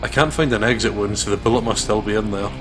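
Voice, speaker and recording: A man with a slightly low voice narrates a visual novel as a let's play host. His reading is dramatic, with clear music behind it. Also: fast talker.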